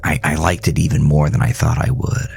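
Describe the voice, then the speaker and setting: A man playing an uneasy man in his mid-thirties, narrating a horror audio drama in a measured, confiding, brooding way. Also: extremely low pitch, steady tone, creaky, darkish tone, slightly rough, full character voice. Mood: reflective, intrigued.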